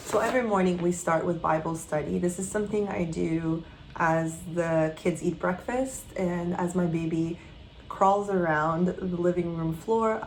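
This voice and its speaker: A woman in her thirties from Quebec, a homeschooling mother giving a casual, gentle update that sometimes rambles while she searches for words. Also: on the low side, slight background noise, rough recording. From Quebec, Canada.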